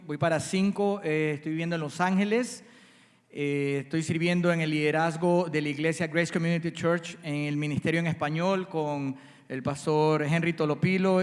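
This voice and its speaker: A man from Ecuador, introducing himself as a church panelist. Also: a little high. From Guayaquil, Ecuador. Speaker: panelist, church ministry leader. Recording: reverberant hall sound.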